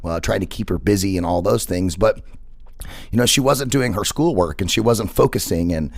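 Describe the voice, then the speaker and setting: Male radio host, big gravelly voice, casual and rambling, dry studio sound. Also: deep voice, moderate pace, no room sound. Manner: rambling, repeats words and fillers. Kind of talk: casual interview chat.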